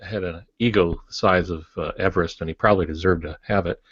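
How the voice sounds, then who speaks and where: A man with a low voice talks casually as a podcast co-host, explaining things reflectively. The sound is dry and close, with a slightly dull, lo-fi quality. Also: fairly even intonation, fast, slightly rough, no room sound. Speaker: podcast co-host discussing writing.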